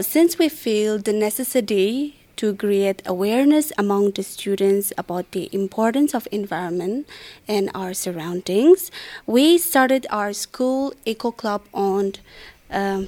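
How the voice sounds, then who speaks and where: A female teacher answers interview questions on air. Her delivery is formal and sounds prepared, and her voice sits at a middle pitch. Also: darkish tone. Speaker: school English teacher.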